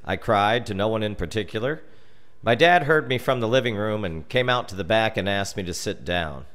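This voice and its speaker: Male American storyteller, lower register, highly varied intonation, theatrical scripted narration with dramatic pauses. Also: lively intonation, fairly bright tone. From United States. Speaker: storyteller reading his comic family memoir.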